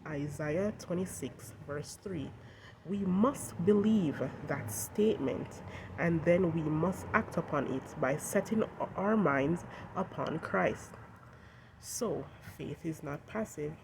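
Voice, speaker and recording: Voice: medium pitch, takes it slowly, gravelly. Congested speaker, earnest talk over street noise. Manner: earnest, direct, addresses viewers as guys. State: congested from a cold. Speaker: host of a devotional channel. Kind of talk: encouraging devotional talk with scripture readings. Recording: noisy outdoor traffic background.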